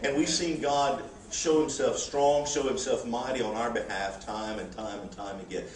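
A man's voice: mid-range voice, moderate pace, reverberant, recorded from a distance, a little hiss or hum, rough recording. A missionary in his forties, giving a folksy, humorous church report with frequent "uh" fillers. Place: church sanctuary.

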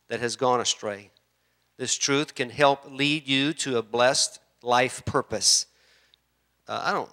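A man's voice: medium pitch, pushed and bright. A man in his fifties preaching a sermon in church, repeating key words for emphasis and building to a fervent delivery. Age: 50s. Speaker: pastor. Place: church sanctuary.

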